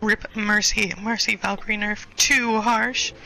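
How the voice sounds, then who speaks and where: A woman's voice: mid-range voice, flat delivery, a little slow, creaky, very noisy. A woman playing an online shooter and chatting casually with a friend, giggling and quoting memes. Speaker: gaming streamer. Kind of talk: casual chat while gaming. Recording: game music loud behind voice.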